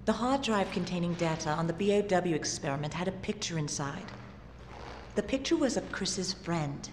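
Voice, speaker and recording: A woman's voice: on the low side, animated, normal speed, background noise, close to an everyday voice. An actress playing a determined young woman, an earnest security agent, in a video game cutscene. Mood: quiet concern. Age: young adult.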